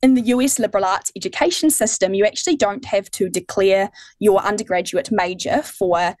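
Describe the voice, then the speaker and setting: Young new zealand woman, modest and lively. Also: mid-range voice, fairly quick, no room sound. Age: 20s. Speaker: interview guest. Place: remote link.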